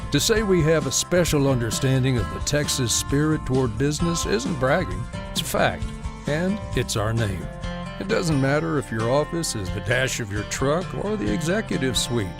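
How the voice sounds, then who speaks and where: A man's voice: mid-range voice, very animated, normal speed, creaky. A man narrating a scripted radio commercial as the announcer. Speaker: advertisement announcer. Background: plucked guitar music.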